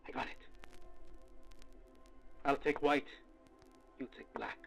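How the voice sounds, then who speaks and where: A man playing a weary, foul-mouthed field researcher dictating case logs in an audio drama, with a gravelly, theatrical, halting delivery. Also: fairly high pitch, monotone, a bit bright, soft background music. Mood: frightened, bargaining. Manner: theatrical, halting, muttering to himself.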